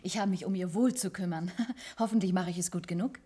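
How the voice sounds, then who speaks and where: A woman's voice: on the low side, steady tone, fairly quick, warm tone, lightly acted. A woman playing a dutiful, evasive attendant in a radio science-fiction drama, courteous and hesitant. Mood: courteous, cheerful. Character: dutiful, evasive female attendant minding a captive.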